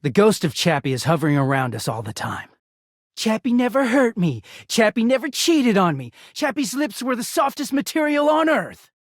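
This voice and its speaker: A man's voice: sits high, big pitch swings, normal speed, no echo, studio quality. A man voicing a bitter, exasperated, sardonic, foul-mouthed young male living-laundry character in a video game. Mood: aggrieved, bitter. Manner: sardonic, foul-mouthed, swinging from muttering to shouting.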